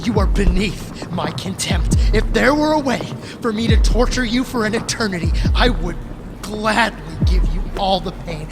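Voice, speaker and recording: A distraught young male survivor, raw and bent on revenge. It is an audio drama performance with very loud music behind the voice. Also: sits high, expressive, normal speed. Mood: cold fury.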